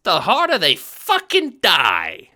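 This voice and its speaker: A man's voice: sits high, huge pitch swings, takes it slowly, pushed and bright, pitched above the performer's own voice, full character voice. A man voicing a small, impish, gleeful and foul-mouthed sidekick in an audio drama. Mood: brash.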